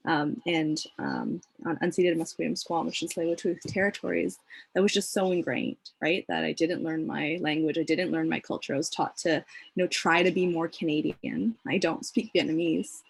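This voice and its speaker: Young woman panelist, husky voice, gravelly edge, earnest and hesitant, dry video call sound. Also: fairly low pitch, no room sound, poor audio. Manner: thoughtful, earnest, hesitant with many fillers. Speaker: panelist, community advocate.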